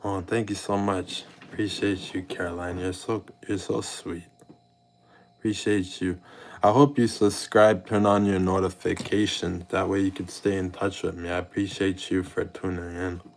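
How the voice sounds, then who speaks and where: A young male streamer talking to his chat while out in public. His voice sits very low with a gravelly edge. He keeps it laid-back and casual, thanking viewers by name. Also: little pitch movement, normal speed, noisy background. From mixed Jamaican and British heritage. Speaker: IRL streamer.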